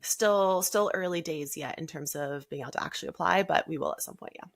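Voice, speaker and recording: A woman's voice: low voice, a little fast, gravelly. A woman chatting casually as a podcast guest, telling her own stories in a talkative, self-deprecating way. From Canada. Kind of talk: casual conversational interview.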